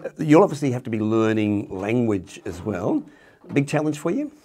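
A man's voice: medium pitch, very animated, normal speed, dark tone, husky. A man in his sixties or older, interviewing guests conversationally on stage through a headset mic. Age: 60s or older. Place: indoor stage with curtain backdrop. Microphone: headset microphone.

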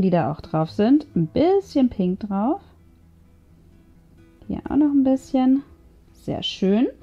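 A woman's voice: fairly high pitch, mellow. A woman giving casual craft tutorial commentary, chatty and thinking aloud as she works. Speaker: craft video host. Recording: music behind the voice. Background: background music.

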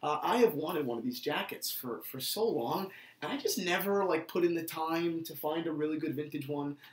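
A man's voice: on the high side, normal speed. A man in his twenties hosting a video, chatting casually as an enthusiast in a rambling way with frequent filler phrases. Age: 20s. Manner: rambling, peppered with "you know". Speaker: watch channel host. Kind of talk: casual enthusiast chat to camera. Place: small indoor office, seated at a desk. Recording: echoey small room.

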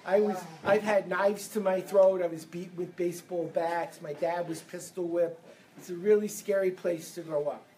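A man from Brooklyn, giving a lively motivational seminar talk and getting the audience to answer back. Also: high voice, normal speed. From Brooklyn, New York. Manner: energetic, leads audience call-and-response. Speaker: seminar speaker. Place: event room with a live audience.

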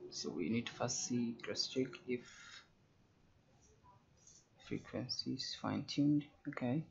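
A man giving halting, think-aloud flight simulator commentary and pilot radio calls. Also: mid-range voice, gravelly, a little mellow, breathy edge, lo-fi sound. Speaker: host, virtual pilot.

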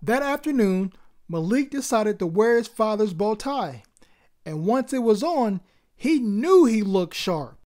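A woman's voice: medium pitch, big pitch swings, measured pace, darkish tone. A woman hosting a story time, reading expressively as the narrator of a children's book.

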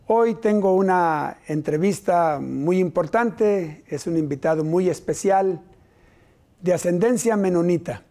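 Man, 50s, TV interview host; mellow, a touch high, measured delivery, lapel mic in a studio. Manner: warm, measured welcome, frequent short affirmations. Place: TV studio set.

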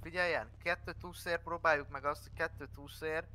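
A man's voice: fairly high pitch, steady tone, sharp tone. A man giving casual tactical instructions as a player in a roleplay game.

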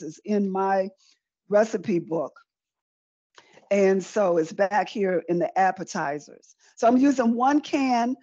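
A woman's voice: medium pitch, a little mellow, slightly rough, dry recording. A middle-aged woman giving a live online cooking demonstration, chatty and conversational, repeating phrases for emphasis as she teaches. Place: indoor room with folding screen, demo table. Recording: dull, lo-fi video-call audio.

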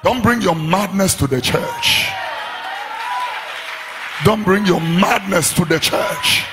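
A middle-aged man with a Ghanaian accent, a pastor preaching a fiery sermon into a handheld mic in a large church hall, shouting in sing-song refrains. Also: high-pitched, slow pace, a crowd in the background, noisy background.

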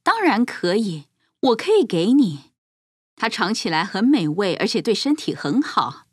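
A woman's voice: mid-range voice, big pitch swings, a little slow, slightly rough, no room sound, studio quality. A woman voicing a cruel, scheming witch in a children's fairy-tale cartoon, with a sly, theatrical delivery. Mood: falsely sweet. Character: cruel scheming witch, feigning sweet kindness.

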